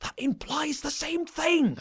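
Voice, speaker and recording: A man's voice: extremely high pitch, takes it slowly, creaky, fairly bright tone, heavily acted. A man voicing a grizzled, haughty old male unicorn scholar in an audio drama, with a pompous, sarcastic, theatrical delivery. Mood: exasperated. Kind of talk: animated audio drama character voice.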